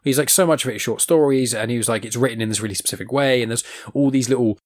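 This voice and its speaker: Young male podcast host, fast, rambling casual delivery, average pitch. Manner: rambling, animated, swears casually. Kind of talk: casual nerd chat.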